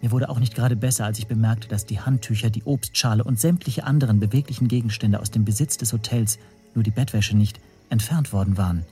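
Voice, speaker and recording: An actor playing an anxious, self-doubting man who narrates his own story in a breathy, brooding hush. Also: fairly low pitch, fast, faint music behind. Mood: shaken. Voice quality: husky, breathy, often near whisper. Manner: brooding, introspective, hushed. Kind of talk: first-person radio play narration.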